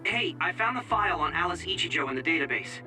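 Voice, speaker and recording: A man's voice: sits high, expressive, fast. A man playing a matter-of-fact squad member giving a report in a video game. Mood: informative. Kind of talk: English video game voice acting. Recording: distant-sounding, clear music underneath.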